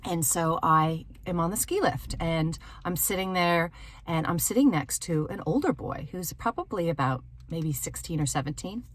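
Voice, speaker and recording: Lower-voiced woman telling a casual story. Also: moderate pace, no room sound. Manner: animated, giggly, acts out dialogue. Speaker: host of a storytelling vlog. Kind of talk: casual personal storytelling to viewers. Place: inside a parked car.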